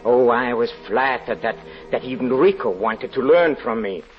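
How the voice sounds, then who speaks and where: Through a dull vintage radio recording with music behind comes a man playing a trusting stage magician. He speaks haltingly and repeats himself, like a haunted confession in a 1940s radio play. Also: mid-range voice, lively intonation, natural voice. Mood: haunted, rueful. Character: trusting, flattered male carnival magician recounting his undoing. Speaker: narrator and lead actor. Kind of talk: 1940s radio drama acting.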